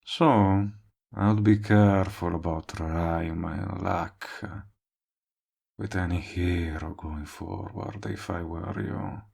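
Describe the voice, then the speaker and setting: A low, slow-talking villain played by a male voice actor courts the listener in a romantic audio drama. He is smug and possessive, with a creaky, gravelly edge. His lines are wry and smooth-talking, with words drawn out for emphasis. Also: steady tone, a little mellow, heavily acted. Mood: flirty, boastful. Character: smug lovestruck villain, possessive, persistent, wryly comic man. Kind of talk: romantic comedy audio roleplay addressed to listener.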